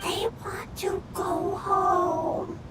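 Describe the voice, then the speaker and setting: A little fungus creature, squeaky high n slow, simple broken talk, gentle, game voice. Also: female voice, little pitch movement, heavy background noise, heavily acted. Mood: gentle, solemn. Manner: clipped broken sentences, slow. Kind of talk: video game voice acting.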